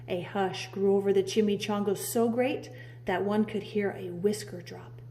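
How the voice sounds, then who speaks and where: A woman's voice: mid-range voice, fairly even intonation, moderate pace, warm tone, a little hiss or hum. A woman reading a children's storybook aloud like a preschool teacher, dramatically drawing out key words. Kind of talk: expressive children's storybook narration.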